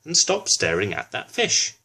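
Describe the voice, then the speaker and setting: Guy doing a lady primary teacher for a kids story, firm, bossing the class, voice lifted higher. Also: huge pitch swings, moderate pace, gravelly, bright, forceful tone, a little hiss or hum, exaggerated voice. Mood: stern. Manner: firm, instructing. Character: female primary school teacher, firm, instructing her class.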